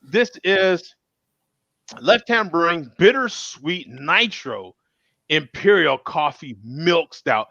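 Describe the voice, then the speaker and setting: Male host, highish lively voice, folksy casual chatter, repeats himself, dry but slightly dull sound. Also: slow pace, no echo. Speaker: show host. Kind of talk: casual chat.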